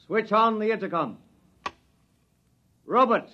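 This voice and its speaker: Stern military colonel, high-pitched, clipped orders, authoritative, dull vintage radio sound. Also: male voice, slow, slight background noise. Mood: stern, formal. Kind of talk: 1950s radio drama acting.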